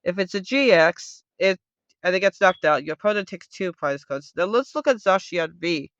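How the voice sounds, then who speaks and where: A man hosting a casual, unscripted how-to video, talking loudly and rambling as he corrects himself. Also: sits high, animated, normal speed, no echo. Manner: loud, rambling, self-correcting. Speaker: host of a card game tutorial video. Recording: dull, lo-fi sound.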